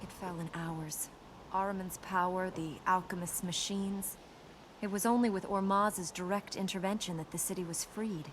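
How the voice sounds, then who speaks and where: A woman playing a dutiful, earnest young princess in a video game, composed and gently teasing in manner. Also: fairly low pitch, steady tone, moderate pace, close to an everyday voice, rough recording. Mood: solemn. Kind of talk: naturalistic video game dialogue. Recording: game ambience noise behind voice.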